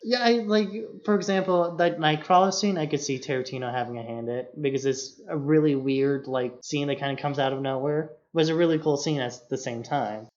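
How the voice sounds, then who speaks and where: Male podcast co-host, slightly high voice, informal and loose film chat, somewhat muffled audio. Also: a little fast, few pauses, a little mellow, a little hiss or hum. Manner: informal, peppers talk with "like". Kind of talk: casual film discussion.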